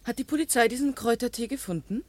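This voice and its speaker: An actress playing a self-possessed amateur sleuth in a German radio crime drama, direct and composed in manner. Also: female voice, a little high, fast talker, a little mellow, close to an everyday voice. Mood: probing.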